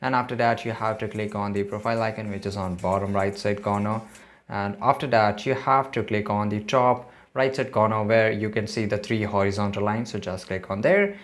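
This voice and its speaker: Male, lowish voice, tutorial host, casual step-by-step narration, instructional. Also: fairly quick.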